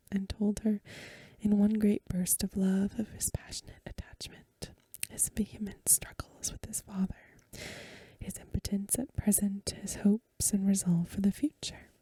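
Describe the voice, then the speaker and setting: Woman doing asmr story reading, super breathy whisper, soft n gentle, close dry mic. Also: medium pitch, no room sound. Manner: hushed, gentle, unhurried storytelling. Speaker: ASMR reader.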